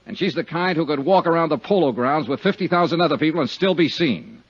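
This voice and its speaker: A man's voice: on the high side, expressive, fast, breathy edge. An American actor playing a tough, world-weary but humane male insurance investigator in a 1950s radio detective drama, terse and pointed. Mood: demanding. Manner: terse, hard-boiled, pointed. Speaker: insurance investigator hero and narrator. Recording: dull, lo-fi 1950s radio recording.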